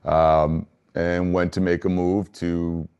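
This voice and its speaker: A man speaking as an interview guest, answering conversationally in a measured, earnest way. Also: low voice, flat delivery, darkish tone, smooth, dull audio. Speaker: interview guest, business founder.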